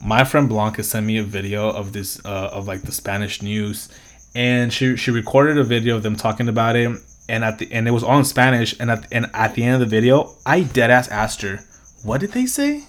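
A man's voice: medium pitch, a little fast. A young man hosting a solo podcast, talking in a casual, rambling way, swearing freely and laughing often. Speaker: podcast host.

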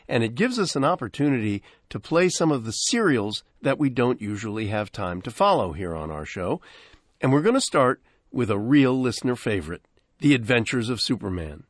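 Animated American male radio host. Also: mid-range voice, no echo, studio quality. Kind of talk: scripted radio presenting. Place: dry radio studio.

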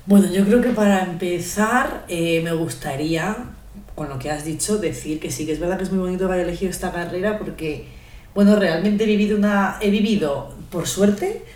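A young woman with a Spanish accent from Spain, talking in a relaxed, thoughtful way as a podcast guest, with many pauses and fillers. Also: on the low side, reverberant. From Ecuador, raised in Spain. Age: young adult. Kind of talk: casual podcast conversation.

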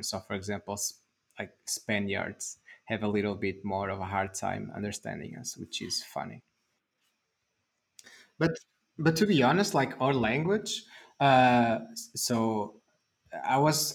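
A man's voice: medium pitch, creaky. A man with a Portuguese accent hosts a casual podcast chat. He talks at an easy, conversational pace with frequent fillers. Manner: chatty, uses many "like" fillers. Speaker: podcast host.

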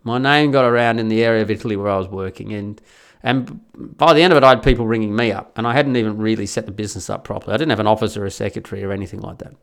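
A man's voice: lowish voice, fairly even intonation, rapid-fire, gravelly. An Australian man talking in a blunt, chatty way, with lots of restarts and the odd swear word, giving advice in a casual monologue.

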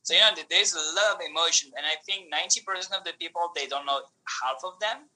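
A man whose first language is Polish, talking as a podcast guest in an animated way, with many restarts and fillers. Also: fairly high pitch, quick pace, poor audio. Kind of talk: casual conversation.

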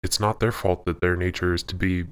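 Casting: man to play ancient towering demon for audio fiction; deep, hesitant, quiet delivery, burdened and conflicted. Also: level tone, smooth, close to an everyday voice. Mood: anguished, conflicted. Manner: halting, quiet. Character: anguished seven-foot centuries-old male demon, conflicted, confessing.

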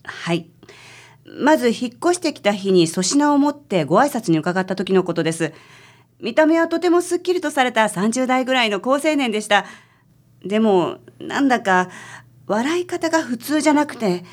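A woman's voice: a little high, sing-song, moderate pace. A woman playing a worried married client in a radio drama, speaking politely, hesitantly and apologetically. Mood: anxious recounting. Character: timid, worried married woman seeking detective's help.

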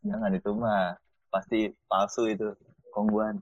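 A man's voice: lowish voice, level tone, measured pace, lo-fi sound. A young man in casual chat who talks in an easygoing, joking way.

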